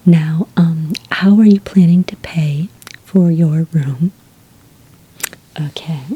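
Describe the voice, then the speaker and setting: A woman doing a soft-spoken, whispered ASMR roleplay as a friendly witch at a hotel front desk, gentle and polite in a breathy voice. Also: deep voice, slow pace, highs cut off, a little hiss or hum. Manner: gentle, polite, customer-service phrasing.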